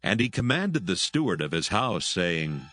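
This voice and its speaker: A man's voice: sits low, sing-song, moderate pace, pushed and bright, no room sound, clean studio sound, faint music behind. A man narrating solemn scripture as part of a dramatised audio Bible.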